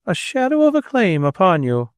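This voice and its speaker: This is a fluttering, prim old lady from a storybook, as a male narrator puts her on. Her voice rises high above the reader's own and trembles with nervous emotion. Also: huge pitch swings, normal speed, smooth, dry recording, studio quality. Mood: anxious, prim. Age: older. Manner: tremulous and shaky, prim and formal. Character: timid elderly gentlewoman, fluttering and tearful.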